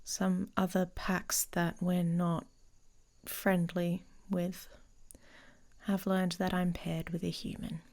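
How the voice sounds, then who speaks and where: A woman playing a dominant, teasing but protective female werewolf in intimate ASMR roleplay, in a breathy, husky voice that often drops to a whisper. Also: on the low side, flat delivery, a little mellow. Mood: gruff concern. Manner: slow, teasing, commanding.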